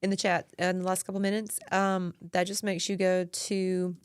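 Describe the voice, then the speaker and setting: A woman's voice: fairly low pitch, flat delivery, moderate pace, clean tone, no room sound, studio quality. A woman co-hosting a live online show, chatting casually and reading out viewer comments. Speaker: co-host. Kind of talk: casual live-stream chat.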